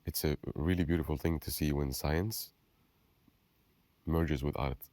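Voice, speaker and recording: A man's voice: a bass voice, fairly even intonation, unhurried, warm tone. A man hosting a casual campus interview, encouraging his guest and echoing his words back. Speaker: host.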